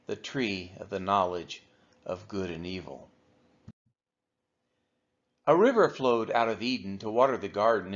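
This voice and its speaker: Man, church scripture reader, measured reading, animated pitch, dull recording. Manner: measured, falls at phrase ends. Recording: dull lo-fi audio.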